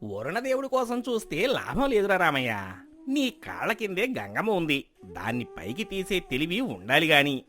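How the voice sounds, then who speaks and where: A man plays a scheming village moneylender from a folk story. His voice is bright, forceful and fairly high, with huge swings in pitch as he persuades and talks down to his listener. He comes across as sly and boastful. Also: huge pitch swings, moderate pace, exaggerated voice. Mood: condescending, persuasive. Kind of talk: dramatized folk moral story acting.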